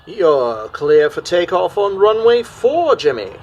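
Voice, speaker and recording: A man's voice: high-pitched, sing-song, slow, full character voice. A man playing an air traffic controller in an amateur radio play, with clipped procedural phrasing. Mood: calm.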